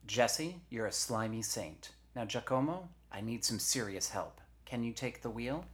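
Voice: medium pitch, expressive, unhurried, voice pushed up, close to an everyday voice. A storyteller voicing a tough, bossy woman driver who talks in curt, sneering commands. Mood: commanding.

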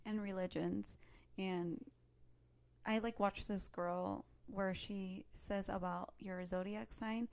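A woman's voice: medium pitch, steady tone, slow pace, gravelly, darkish tone. A woman co-hosting a podcast in a casual, conversational and slangy way. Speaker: podcast co-host. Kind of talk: casual chat.

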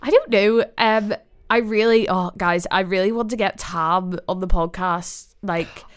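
A young woman with a Northern Irish accent, a podcast host being interviewed, chatting casually, rambling and apologising, with a deep voice and frequent laughs. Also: a little slow, clear, resonant tone. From Northern Ireland. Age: young adult. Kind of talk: casual chat.

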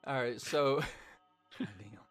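A man with a mix of Southern US and Irish accent, a laid-back podcast host with a voice deepened by a cold, talking bluntly. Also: slow pace, husky, dry recording, soft background music. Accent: Southern US mixed with Irish. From Arkansas, USA. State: voice deeper than usual from a cold. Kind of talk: casual podcast chat.

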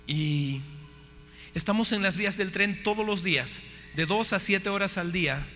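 A man's voice: high-pitched, moderate pace, pushed and bright, big-room sound, faint music behind. A man preaching a testimony sermon, impassioned and often asking his listeners questions. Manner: impassioned, asks the audience questions. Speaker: missionary preacher.

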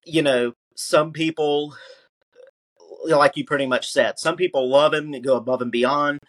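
A man's voice: on the high side, fairly even intonation, unhurried, a bit bright, low-quality recording. A man who is a guest on a podcast, speaking emphatically and often restarting his sentences with "you know". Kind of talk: casual conversational interview.

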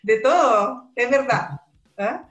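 A woman's voice: a little high, lively intonation, takes it slowly, fairly bright tone. A woman with a Chilean Spanish accent hosting a science interview, upbeat and chatty with many fillers. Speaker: host.

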